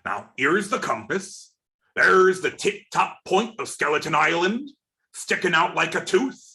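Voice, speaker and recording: A man's voice: a little high, big pitch swings, unhurried, pushed and bright, exaggerated voice. A man playing a wily, blustering pirate seaman in a radio play, speaking in pirate dialect. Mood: scheming, grim.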